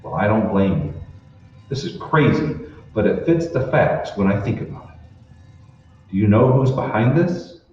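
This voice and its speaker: A man plays a determined radio-drama hero with a fairly low, animated voice. He is urgent and emphatic. The recording is muffled and very echoey, as from far off. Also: a little slow, pauses often, soft background music. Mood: urgent, astonished. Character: determined, earnest man uncovering a conspiracy. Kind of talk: old-time radio drama acting. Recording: echoey hall, distant, muffled, noisy.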